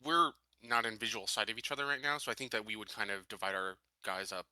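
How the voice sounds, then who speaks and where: Man, tabletop player, very bright tense voice, fast pace, casual strategy chat. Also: on the low side, a little hiss or hum.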